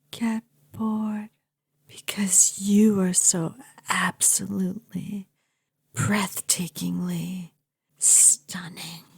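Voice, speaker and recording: A woman voicing an obsessive, devoted vampire girlfriend in an intimate ASMR roleplay, breathy and often whispering, savoring her words with dramatic adoration. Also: medium pitch, darkish tone, dry recording, clean studio sound, heavily acted. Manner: slow, savoring, adoring, dramatic.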